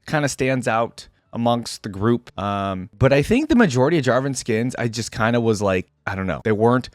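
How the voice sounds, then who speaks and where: A man with a lively, swinging delivery talks through his reactions in a casual video-creator style. He thinks aloud informally and nitpicks as he goes. The sound is close and dry, with very little room in it. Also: mid-range voice, moderate pace, dry recording.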